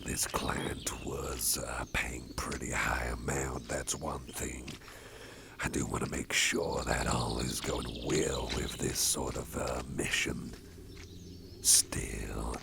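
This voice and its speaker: A man voices a soft-hearted, protective boss in an ASMR roleplay, whispering close and slow. His voice sits extremely low with a gravelly fry. Outdoor farm ambience and animal sounds come through clearly behind him. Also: little pitch movement, very noisy, full character voice. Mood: gentle. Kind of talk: ASMR personal attention roleplay.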